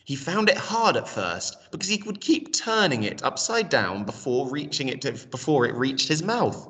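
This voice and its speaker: A man reads a children's story with hugely varied intonation. His voice is bright and mid-pitched, heard from slightly away from the microphone. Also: sing-song, moderate pace, recorded from a distance. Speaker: storytelling reader. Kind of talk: expressive children's story reading.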